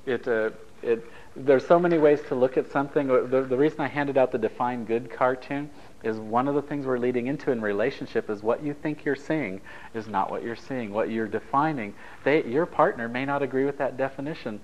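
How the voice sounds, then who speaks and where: A man's voice: medium pitch, a little fast, muffled recording. A man from Italy whose first language is Japanese, leading a taped relationship workshop in a conversational, anecdotal way, joking with the group. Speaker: workshop leader.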